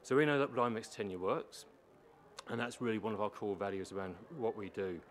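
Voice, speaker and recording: A man with a low voice presenting a prepared submission at a public meeting, explaining and repeating points for emphasis. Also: fairly quick. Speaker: housing organisation manager presenting to a council. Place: council meeting chamber. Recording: meeting room with faint background noise.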